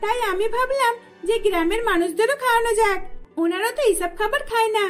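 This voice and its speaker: A woman's voice: very high voice, fast talker, faint music behind. A woman voicing a friendly young village food seller in a cartoon, patient and coaxing. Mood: friendly, persuasive.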